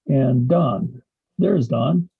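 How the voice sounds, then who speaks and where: A man with a gravelly, husky voice presenting a slideshow as host on a video call. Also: medium pitch, very slow, no echo, phone-quality sound. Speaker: host introducing a guest speaker.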